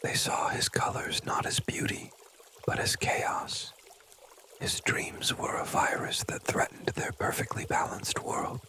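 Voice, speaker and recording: Storyteller for kids' ASMR tale: all whisper, airy and crisp, gentle bedtime delivery. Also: measured pace. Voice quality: breathy, unvoiced whisper.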